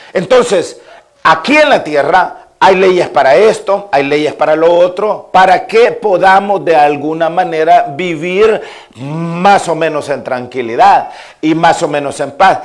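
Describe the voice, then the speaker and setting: A man in his fifties from El Salvador with a Salvadoran Spanish accent, preaching a sermon as a pastor in a repetitive, listing cadence with frequent amens. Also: fairly high pitch.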